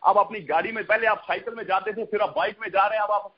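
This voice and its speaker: A man with a very high-pitched, husky voice delivers a network marketing pitch. He repeats himself and mixes in English catchphrases. The sound is dull and lo-fi, like a conference call line. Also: fairly even intonation, fast.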